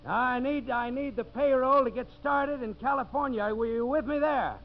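An actor playing a slick, fast-talking promoter and boss with a commanding salesman's patter, in 1950s radio drama style. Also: very high voice, fairly even intonation. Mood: rousing, pitching. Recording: dull, hissy 1950s radio broadcast recording.

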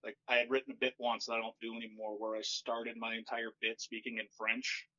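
A man who does stand-up comedy, talking with wry, deadpan storytelling in a fairly monotone voice. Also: mid-range voice, a little fast, highs cut off. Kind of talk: casual podcast chat.

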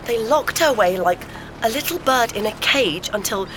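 An actress playing an eager amateur sleuth in an audio drama, talking through theories in an animated, insistent, conversational way. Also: female voice, on the high side, moderate pace, over music, close to an everyday voice, poor audio. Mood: excited. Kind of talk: naturalistic audio drama dialogue. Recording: clear background ambience noise.

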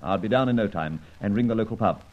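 An actor playing a suave, breezy gentleman adventurer in an old radio serial, jaunty and clipped in his phrasing. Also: fairly low pitch, fast talker, highs cut off, natural voice. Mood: concerned. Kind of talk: old radio serial acting with first-person narration.

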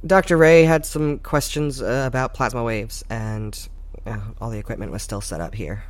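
A woman playing a frustrated, self-critical scientist in an audio drama, muttering in a halting, exasperated way. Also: extremely low pitch. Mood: defeated, bitter. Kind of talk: naturalistic audio drama acting.

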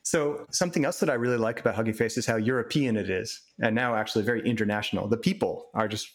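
A man's voice: fairly low pitch, quick pace. A male podcast host leads the interview with eager, friendly questions. He sets out topics in a chatty, conversational tone.

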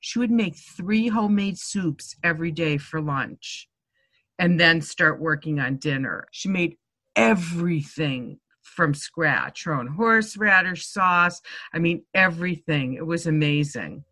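A woman in her sixties with a Boston accent, a chef telling stories as an interview guest in a chatty, lively way. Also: on the low side, measured pace. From Connecticut, USA. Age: 60s. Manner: chatty, warm storytelling, laughs often. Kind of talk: casual conversation.

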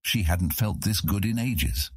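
A man's voice: deep voice, expressive, measured pace, breathy edge, dry recording, clean studio sound. A man reading scripted fantasy narration as an audiobook narrator.

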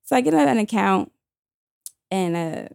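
A woman's voice: mid-range voice, expressive, measured pace, gravelly, a little mellow, smooth, no echo, studio quality. Blunt, slangy woman from Queens. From Queens, New York. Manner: blunt, slangy, emphatic storytelling. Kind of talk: casual storytelling chat.

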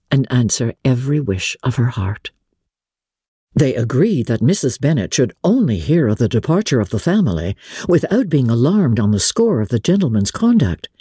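A woman narrates a classic novel with theatrical, measured phrasing. Her voice is mellow and a little husky, with wide, lively intonation. It sounds close and dry. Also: deep voice, no echo. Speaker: narrator, audiobook reader. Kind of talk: dramatic literary narration.

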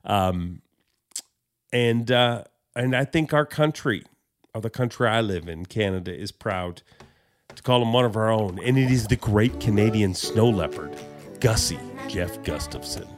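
A man hosting a podcast in a casual, joking, rambling way, his voice a little hoarse. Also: lowish voice, expressive, a little slow, faint music behind. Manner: joking, rambling, self-mocking. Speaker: podcast host.

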